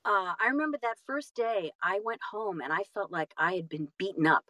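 A woman's voice: medium pitch, a little fast, pushed and bright, dry recording. A woman telling stories casually as an interview guest, self-deprecating and emphatic in manner. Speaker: guest, audiobook narrator and actress.

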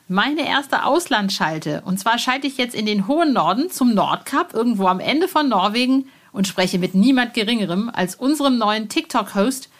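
A woman hosting a podcast in a chatty, informal and enthusiastic way, addressing listeners and guests directly. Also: mid-range voice. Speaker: podcast host and music journalist. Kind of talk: upbeat podcast hosting and interview.

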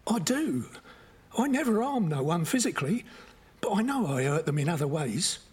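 Man acting a husky, defiant working-class convict. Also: high voice, very animated, moderate pace, darkish tone, heavily acted. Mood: remorseful, earnest. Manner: emotional, blunt, colloquial speech. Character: defiant working-class convict, dying father, quick to anger. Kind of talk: audio drama acting.

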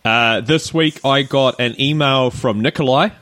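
A man's voice: medium pitch, measured pace, a bit bright. A man co-hosting a podcast, chatting casually, joking, teasing and laughing often. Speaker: podcast co-host. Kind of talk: casual chat.

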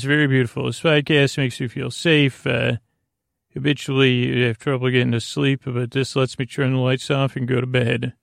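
Gravelly, mumbling male sleep podcast host. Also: mid-range voice, dry recording, studio quality. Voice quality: soothing, gravelly. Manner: meandering, halting, self-deprecating mumbling. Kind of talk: rambling bedtime sleep podcast monologue.

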